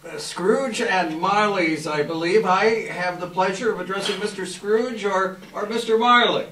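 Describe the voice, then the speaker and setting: Heard from across an echoey room with faint hiss, a man plays a courteous, portly gentleman on stage. He sounds formal and hesitant, in a slightly high male voice. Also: unhurried, distant mic. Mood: polite, hesitant. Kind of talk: readers theater stage reading.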